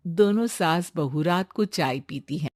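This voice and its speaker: A woman's voice: lowish voice, expressive, measured pace, a little mellow, dry recording, studio quality. A woman reading scripted storybook narration as the narrator of a cartoon tale.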